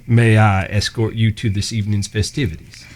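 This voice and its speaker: An actor playing an earnest, courteous American cowboy younger brother, mild and folksy, in a western audio drama. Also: male voice, on the low side, level tone, normal speed, a little mellow, lightly acted. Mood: courtly.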